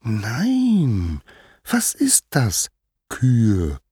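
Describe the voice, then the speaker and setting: A man's voice: mid-range voice, wildly swooping pitch, extremely slow, dark tone, slightly rough, no room sound, clean studio sound, pitched above the performer's own voice, heavily acted. A man voicing a sly, playful little boy god who schemes and feigns innocence in a storybook tale. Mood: feigned innocence. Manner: sly, wide-eyed, playful.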